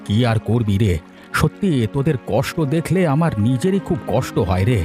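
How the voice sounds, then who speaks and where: Man voicing a lively, kindly village uncle. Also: medium pitch, moderate pace, faint music behind, close to an everyday voice. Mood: sympathetic. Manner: avuncular, sympathetic.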